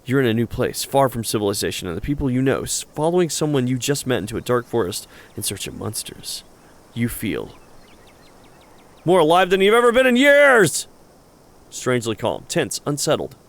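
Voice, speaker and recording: A man narrating a horror visual novel aloud as a host, with expressive storytelling. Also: medium pitch, normal speed, vocal fry. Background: background music with guitar.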